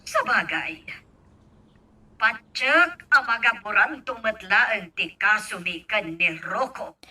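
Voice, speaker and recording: Stubborn scheming mom, radio drama, real sharp voice, slow talker, bit far from mic. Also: female voice, mid-range voice, lively intonation, heavily acted. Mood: insistent, impatient. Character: scheming, stubborn middle-aged mother. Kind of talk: Ilocano radio drama acting. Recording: distant mic, faint background noise.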